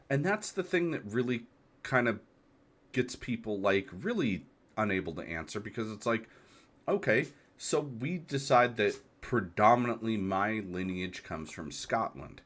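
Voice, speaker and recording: A man's voice: on the low side, a bit bright. An American man in his thirties hosting a solo talk, reflective and hesitant, asking rhetorical questions. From United States. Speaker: podcast host. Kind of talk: solo monologue.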